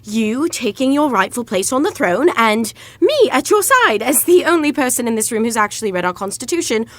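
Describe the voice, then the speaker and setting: A brisk, bookish young woman in a rom-com audio drama. Her highish voice moves expressively as she talks with wry, bossy insistence and slightly flustered confidence. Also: sing-song, fairly bright tone, dry recording. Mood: insistent. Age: young adult. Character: brisk, bossy, studious young woman scheming fake engagement. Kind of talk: naturalistic romantic comedy audio drama acting.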